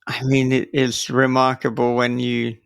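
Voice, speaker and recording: A man with a gravelly, creaky voice hosts a casual interview at an unhurried pace. His mid-pitched speech sounds close and dry. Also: completely flat delivery, dry recording. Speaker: podcast host, interviewer.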